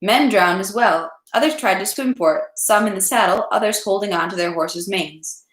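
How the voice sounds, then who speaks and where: Female amateur reader for literary narration, medium pitch, slightly unpolished delivery, recorded in a reverberant home room. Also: moderate pace, fairly bright tone. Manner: unpolished amateur read-aloud, stumbles and rereads. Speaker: reader of a read-aloud series. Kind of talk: reading a classic novel aloud. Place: indoors at home, echoey room.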